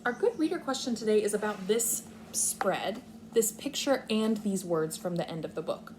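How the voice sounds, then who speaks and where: A woman's voice: mid-range voice, lively intonation, moderate pace, noisy background. A woman speaking as a kindergarten teacher, explaining and thinking aloud step by step in a read-aloud lesson for young children.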